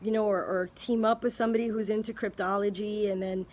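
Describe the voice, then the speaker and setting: A woman vlogger thinking aloud in a casual, earnest way, pausing and restarting as she speculates. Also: medium pitch, steady tone, a little mellow. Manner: unhurried, thinking aloud with many pauses and restarts. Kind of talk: casual spoken musing to camera.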